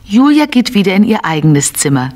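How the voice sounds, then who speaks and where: A woman's voice: medium pitch, sing-song, brisk, darkish tone. A woman narrating a scripted children's story as the narrator of a radio play.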